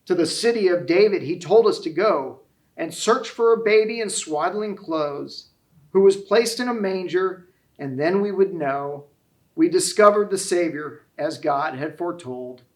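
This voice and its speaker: A man's voice: high voice, lively intonation, unhurried, low-quality recording. A man reading as a sorrowful, kindly old grandfather who tells his tale tenderly in rhyme. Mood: earnest, reminiscing. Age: older.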